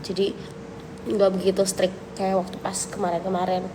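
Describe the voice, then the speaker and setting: A young woman with a cold, chatting casually with viewers on a livestream, relaxed and thinking aloud. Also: medium pitch, moderate pace. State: has a cold, coughing. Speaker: livestream host answering viewers. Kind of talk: casual live chat reading viewer questions. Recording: noisy livestream audio.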